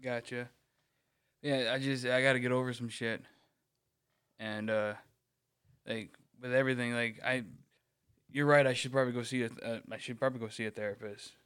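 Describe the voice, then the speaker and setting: A man's voice: medium pitch, steady tone, moderate pace, long pauses, a bit bright, clear, resonant tone. A man co-hosting a casual podcast chat, hesitant and self-deprecating. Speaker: podcast co-host.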